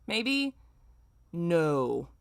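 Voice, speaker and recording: A woman's voice: lowish voice, big pitch swings, very slow, vocal fry. A woman streamer commenting casually and reading aloud in an exclamatory, informal, self-mocking manner.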